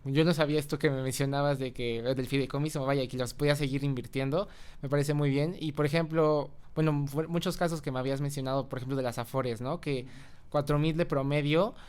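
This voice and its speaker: Smooth-voiced Mexican man asking questions. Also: medium pitch, steady tone, brisk, darkish tone. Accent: Mexican Spanish. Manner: hesitant, lots of fillers, thinking aloud. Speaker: interviewer. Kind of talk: interview questions.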